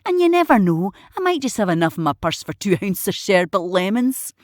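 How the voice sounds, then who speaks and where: A woman's voice: medium pitch, very animated, fairly quick, no echo, studio quality, lightly acted. An actress playing a blunt but tender, protective older mother figure with a Glaswegian accent in an audio drama. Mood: soothing, protective. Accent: Glaswegian Scottish.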